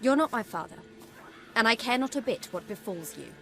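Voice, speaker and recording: Defiant young daughter, highish voice. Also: female voice, lively intonation, brisk, a bit bright, soft background music. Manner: firm, cutting. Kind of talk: video game cutscene acting.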